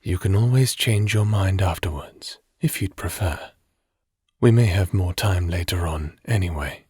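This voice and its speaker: A man playing a calm, ancient, patient being who speaks in a measured, formal way in an audio roleplay. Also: low-pitched, husky, dry recording, full character voice.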